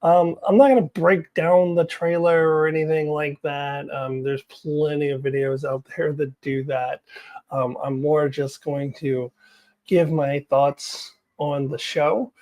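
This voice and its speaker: A woman's voice: deep voice, fairly even intonation, unhurried, mellow. A woman vlogger chatting casually to viewers about her opinions, candid and self-deprecating, often laughing as she talks. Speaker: vlogger giving opinions on TV news.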